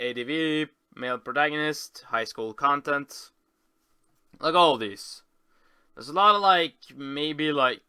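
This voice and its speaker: Bright, clear-voiced man rambling casually. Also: medium pitch, dry recording. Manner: rambling, halting, self-correcting. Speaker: video host. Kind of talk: casual screen-tour commentary.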